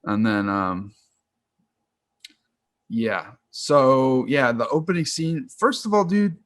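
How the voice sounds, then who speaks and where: A man's voice: mid-range voice, slow, no echo. A man in his twenties chatting casually and enthusiastically about films on a video call, rambling with lots of slang. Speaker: film podcast co-host. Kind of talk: casual film chat.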